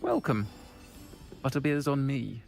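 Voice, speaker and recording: A woman's voice: rumbling low, huge pitch swings, unhurried, creaky, music in the background, heavily acted. A woman playing a friendly, assured tavern keeper in a video game, with an easygoing manner. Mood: friendly, concerned. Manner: welcoming, easygoing.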